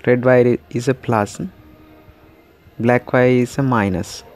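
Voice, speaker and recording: A man's voice: mid-range voice, fairly even intonation, a little slow, a little mellow. A man narrating a hands-on repair tutorial step by step, in short, simple, non-native English sentences. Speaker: DIY repair tutorial host. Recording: clearly noisy home recording.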